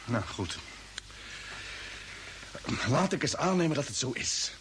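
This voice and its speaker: An actor playing a questioning, sceptical spaceship commander in an old radio play, with halting repeated words. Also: male voice, mid-range voice, big pitch swings, a bit bright. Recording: hissy vintage radio drama recording.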